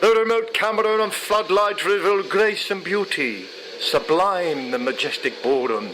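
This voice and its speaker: A man reading poetry aloud in a solemn, measured, dramatic way. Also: high-pitched, noisy background. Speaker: poetry reader. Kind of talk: expressive poetry recitation. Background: music.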